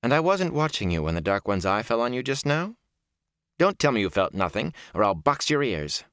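A man voicing a fierce young village wise woman with a sharp, touchy, bossy manner in a fantasy audiobook. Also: mid-range voice, sing-song, moderate pace, no echo, clean studio sound, pitched above the performer's own voice. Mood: angry, scolding.

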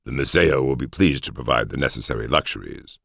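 Audiobook role: teasing scout, played by a male narrator, very low, gravelly, understated and needling. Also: brisk, a bit bright, no room sound, studio quality, exaggerated voice. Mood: wry. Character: wry, teasing male scout, loyal old friend. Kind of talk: audiobook character voice.

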